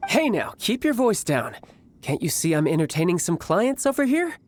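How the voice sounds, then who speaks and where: A man voicing a cocky young fighter in a video game, with a playful, smooth, self-assured manner. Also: high-pitched, very animated, moderate pace, a little hiss or hum. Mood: mildly put out. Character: cocky, breezy young male fighter posing as salesman.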